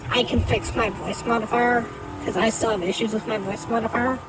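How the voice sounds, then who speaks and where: A woman's voice: a little high, fairly even intonation, fairly quick, poor audio. A woman streamer talking candidly through a voice modifier on a cheap mic while playing a card game, with many fillers. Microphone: cheap desk mic. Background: game music.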